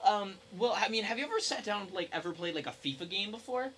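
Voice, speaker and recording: A man's voice: high voice, lively intonation, quick pace, fairly bright tone, a little hiss or hum. A young man co-hosting a podcast, chatting casually in a rambling, informal way. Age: young adult. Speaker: podcast co-host. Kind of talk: casual chat.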